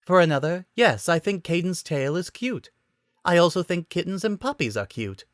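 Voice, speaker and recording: Man acting a young woman, higher voice, smirking, teasing, lively intonation, romantic comedy storybook reading. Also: moderate pace, no room sound, clean studio sound. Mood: playful, teasing. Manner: playful, teasing, arch. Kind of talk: dramatic reading of romantic comedy fan fiction.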